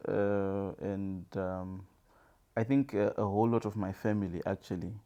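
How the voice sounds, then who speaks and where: A Zimbabwean man co-hosting a casual chat, speaking hesitantly with many pauses and fillers. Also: sits low, level tone, mellow, highs cut off. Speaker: co-host.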